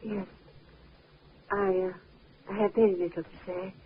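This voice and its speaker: An actress playing a timid, embarrassed widow in a 1950s radio drama, speaking in a halting, apologetic way. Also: female voice, medium pitch, little pitch movement, lightly acted. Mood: nervous, hesitant. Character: timid, embarrassed widow, soft-spoken and hesitant. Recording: muffled, narrow 1950s radio recording, hiss.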